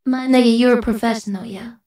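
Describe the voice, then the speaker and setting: A man puts on a brash, squeaky young woman through a voice changer. The voice is very high, roomy and away from the mic, bantering casually. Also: fairly even intonation, fast, a little mellow, recorded from a distance. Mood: brash, teasing. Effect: female voice changer.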